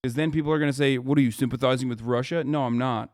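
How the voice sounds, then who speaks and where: A man's voice: mid-range voice, fairly even intonation, fast, darkish tone, no echo, clean studio sound. A man streaming live, reacting to a news video with heated, profane rants and emphatic repetition.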